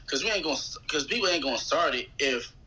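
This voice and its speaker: A man in his thirties with an American accent and a very bright, sharp voice, pitched a little high. He chats casually as a guest on a show. His talk is loose and slangy. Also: lively intonation, quick pace, poor audio. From United States. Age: 30s. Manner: slangy, conversational. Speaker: guest, rapper. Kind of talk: casual interview chat.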